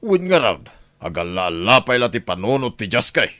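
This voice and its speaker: A man's voice: lowish voice, very animated, normal speed, sharp tone, no echo. An actor playing a greedy, scheming middle-aged man in an audio drama, talking in a sly, scoffing way. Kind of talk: radio drama acting.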